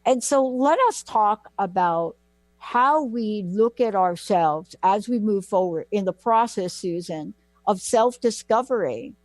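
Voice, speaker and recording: A woman's voice: mid-range voice, expressive, a little slow, darkish tone, dry recording. A woman hosting a talk radio show, gushing and effusive as she welcomes her guests back.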